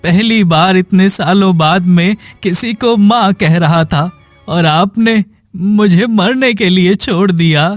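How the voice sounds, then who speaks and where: A man plays a poor, sick hunchback in a cartoon tale. His high voice drags slowly with plaintive despair. The delivery is melodramatic, with faint music underneath. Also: no echo. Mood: hurt, angry. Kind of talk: dramatic Hindi cartoon story dialogue.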